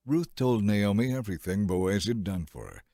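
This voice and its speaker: A man narrating a dramatized scripture audiobook in a measured, scripted storytelling style. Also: on the low side, lively intonation, dark tone, dry recording, studio quality. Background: music.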